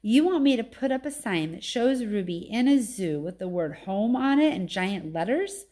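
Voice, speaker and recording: A woman's voice: mid-range voice, expressive, normal speed, dark tone, natural voice. Woman reading as a skeptical, reluctant dad. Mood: exasperated refusal. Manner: doubtful, questioning, wry. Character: George, skeptical, reluctant, gently exasperated father.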